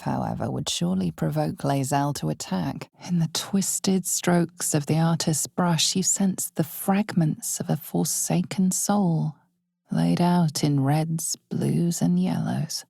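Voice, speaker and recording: A woman playing the narrator of a dark fantasy game, solemn and theatrical, with measured, deliberate phrasing and a hushed, breathy edge. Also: sits low, no room sound, studio quality, full character voice. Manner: measured, deliberate, theatrical delivery with weighted pauses.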